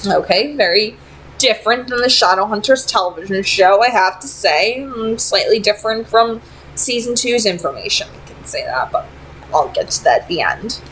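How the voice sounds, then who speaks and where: A woman's voice: mid-range voice, lively intonation, normal speed, fairly bright tone, slight background noise, poor audio. A woman narrating fiction as an amateur book reader. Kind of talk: read-aloud fiction narration.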